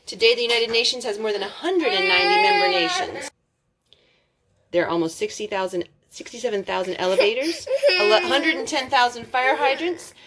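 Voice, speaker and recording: A woman reading a picture book aloud as a storytime host, expressive, drawing out words for emphasis. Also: on the high side, brisk, heavy background noise. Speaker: storytime reader.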